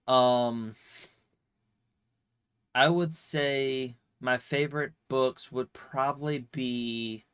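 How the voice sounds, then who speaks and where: A man's voice: mid-range voice, fairly even intonation, very slow, pauses often, a bit bright, smooth. A man with a slight cold and a stuffy, nasal voice, rambling casually with lots of fillers and swearing as a streamer answering viewer questions. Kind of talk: casual chat.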